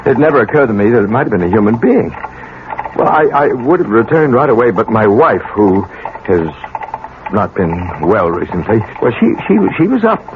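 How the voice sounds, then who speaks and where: An actor playing a mild, educated middle-aged professor in a 1970s radio drama, speaking formally and haltingly. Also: male voice, medium pitch, very animated, natural voice. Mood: anxious, apologetic. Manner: halting, repeats words, formal diction. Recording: tinny, noisy 1970s radio broadcast recording.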